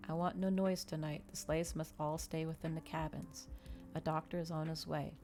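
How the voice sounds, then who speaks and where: A brooding male vampire, as a woman reads him in a hushed storybook telling. The voice is deep and mellow, grave and confessional, with faint music underneath. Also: flat delivery, moderate pace, close to an everyday voice. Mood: grave, urgent. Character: brooding male vampire recounting his past. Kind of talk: ASMR storybook reading.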